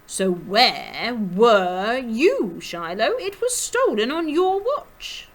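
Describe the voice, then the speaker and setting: A woman voicing an elegant, dashing older male rabbit gentleman with a suave, theatrical drawl in a storybook. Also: fairly high pitch, very animated, very slow, voice pushed up, exaggerated voice. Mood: questioning.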